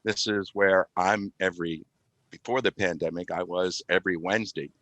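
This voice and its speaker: A man with a very deep voice answers interview questions as a podcast guest. He explains things conversationally over a video call, and the sound is dry, with little room in it. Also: steady tone, moderate pace, a bit bright, no room sound. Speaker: podcast guest, community radio IT volunteer. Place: remote call with virtual background.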